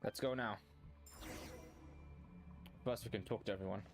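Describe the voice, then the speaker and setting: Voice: mid-range voice, steady tone, moderate pace. Gamer reacting to a game, medium voice, offhand short comments, faint music behind. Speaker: gamer reacting while playing. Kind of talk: casual playthrough commentary.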